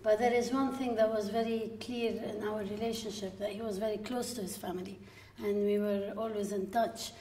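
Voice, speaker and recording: A woman in her forties from Lebanon, a filmmaker guest at a live panel, telling stories in a lively way with quoted asides. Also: medium pitch, normal speed. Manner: lively storytelling with frequent laughs and quoted asides. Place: gallery room with seated audience. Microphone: stand mic at a distance. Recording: echoey room from a stand mic.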